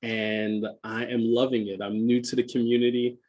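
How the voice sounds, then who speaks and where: A man in his thirties with a middle-pitched voice speaks as a podcast guest. He tells his personal story in a reflective way, now and then doubling back on his words. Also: little pitch movement, normal speed, a little mellow. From Jamaica, moved to Philadelphia as a child. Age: 30s. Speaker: podcast guest, school enrollment director.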